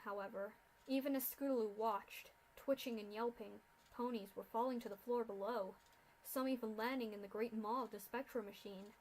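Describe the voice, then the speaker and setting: A woman reading a dark fan fiction story aloud in steady narration. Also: fairly high pitch, slight background noise, poor audio.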